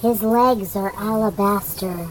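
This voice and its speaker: A woman playing a languid, swooning lover who recites biblical love poetry in a dreamy, drawn-out way for a comic radio play. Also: mid-range voice, darkish tone, natural voice, rough recording. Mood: languid, adoring.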